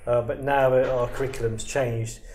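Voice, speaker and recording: A man's voice: mid-range voice, little pitch movement, moderate pace, darkish tone, roomy sound, a little hiss or hum. A man interviewing a guest, building long questions full of "um" and "I mean". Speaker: interviewer, editor for an IT institute.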